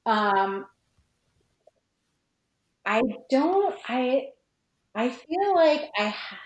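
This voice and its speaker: A woman's voice: high-pitched, big pitch swings, very slow. Hesitant woman guest in an echoey room. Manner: hesitant, searching for words, many "like"s. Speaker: podcast guest, fan fiction writer. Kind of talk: casual, reflective chat about own writing. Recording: roomy, echoey sound.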